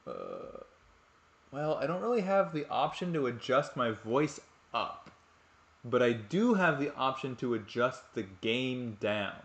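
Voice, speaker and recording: A man's voice: medium pitch, very animated, a little slow, a bit bright, echoey room. A young man casually commenting on his live game stream at home, rambling, joking at his own expense and swearing freely. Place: home room at night.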